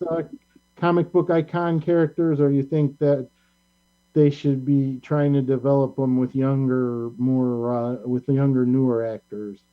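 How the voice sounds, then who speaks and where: A man hosting a casual pop culture discussion, talking in a halting, rambling, opinionated way. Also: on the high side. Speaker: talk show host. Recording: band-limited, dull lo-fi sound.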